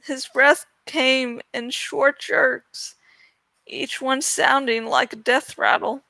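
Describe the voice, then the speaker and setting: High-voiced woman reading a story aloud. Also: fairly even intonation, slow pace, creaky, sharp tone, no room sound, low-quality recording. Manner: self-deprecating, stumbles and jokes about slips. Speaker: amateur audiobook reader. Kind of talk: dramatic storybook narration with self-mocking asides.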